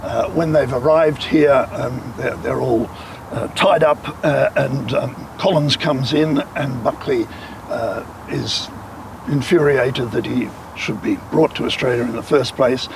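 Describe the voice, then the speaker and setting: An older man, a composer and former opera baritone, speaking with a scratchy voice in an interview, often hesitant with fillers. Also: unhurried, creaky. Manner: hesitant, with many fillers and repeats.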